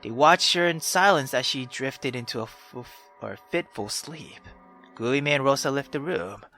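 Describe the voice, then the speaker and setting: Excitable male let's player over music. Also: mid-range voice, normal speed. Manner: excitable, profane, slangy. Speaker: let's play host. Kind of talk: gaming commentary with read-aloud narration.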